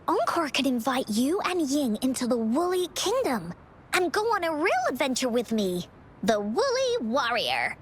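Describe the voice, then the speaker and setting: Bubbly little girl adventurer for a game: very high, lively intonation, excitable and childlike. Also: normal speed, a little hiss or hum. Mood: eager. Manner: childlike, excitable, refers to self in third person. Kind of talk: animated video game character acting.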